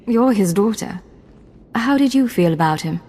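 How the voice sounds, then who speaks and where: A woman's voice: medium pitch, lively intonation, normal speed, mellow, faint music behind, close to an everyday voice. A woman playing a cool, sardonic female imperial agent in a video game, with dry delivery.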